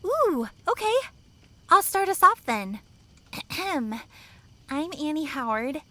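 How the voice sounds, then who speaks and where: Woman playing a high-voiced bubbly college girl. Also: very animated, a bit bright, full character voice. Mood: cheerful. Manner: playful, teasing, drawn-out delivery. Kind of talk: audio drama acting.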